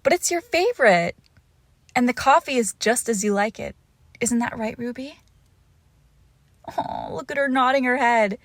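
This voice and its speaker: A woman playing a lovesick, possessive young witch in an intimate roleplay audio, cooing haltingly and tenderly with a creepy edge, often dropping into whispers. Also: fairly high pitch, expressive, no room sound. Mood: playful, doting. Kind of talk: intimate roleplay audio acting, close to the listener.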